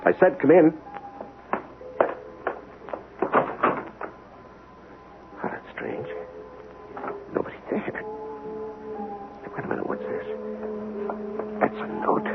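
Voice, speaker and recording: An actor playing an earnest government inspector and accountant in 1970s radio drama, speaking precisely and formally with polite hesitations. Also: male voice, high voice, very animated, unhurried, long pauses, phone-quality sound, over loud music, heavily acted. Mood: puzzled, uneasy. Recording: noisy, band-limited 1970s radio recording.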